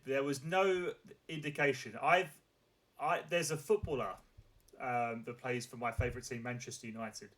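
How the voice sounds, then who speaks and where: A man's voice: medium pitch, a bit bright. A man hosting a casual live-streamed chat show, asking rambling questions full of fillers and restarts. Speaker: talk show host.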